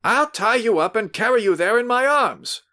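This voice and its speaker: A man voicing a stern, angry gentleman who shouts orders in a storybook reading. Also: on the low side, animated, a little slow. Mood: commanding. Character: angry, commanding middle-aged gentleman.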